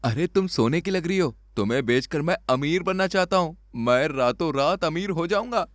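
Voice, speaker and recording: A man's voice: a little high, lively intonation, moderate pace, dry recording, studio quality, voice pushed up, natural voice. A man playing a greedy, exasperated poor village husband in a cartoon story, sarcastic and grumbling. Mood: greedy, eager. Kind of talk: Hindi cartoon story dubbing.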